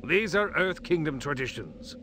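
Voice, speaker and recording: Sharp, haughty royal official. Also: male voice, a little high, lively intonation, moderate pace, soft background music. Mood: stern. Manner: clipped, imperious. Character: stern, haughty male royal council official. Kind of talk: animated game cutscene acting.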